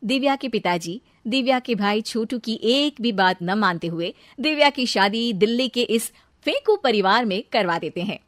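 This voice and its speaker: High-voiced woman narrating a story. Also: expressive, moderate pace, fairly bright tone, dry recording, clean studio sound.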